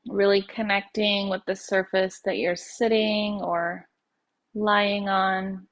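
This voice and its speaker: A woman leading a guided meditation as a yoga nidra teacher, speaking in slow, unhurried phrases with gentle pauses. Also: mid-range voice, flat delivery.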